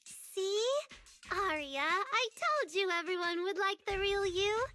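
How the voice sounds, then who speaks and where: A squeaky-high earnest idol girl. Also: takes it slowly, pushed and bright, heavily acted. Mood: tender. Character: earnest, eager young idol girl, loyal friend. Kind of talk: video game voice acting. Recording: music behind the voice.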